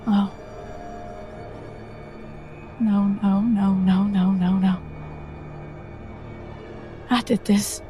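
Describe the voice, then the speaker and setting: A woman playing a timid, shaken young female character in a tabletop game, halting and stammering. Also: medium pitch, steady tone, dark tone, soft background music. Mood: horrified.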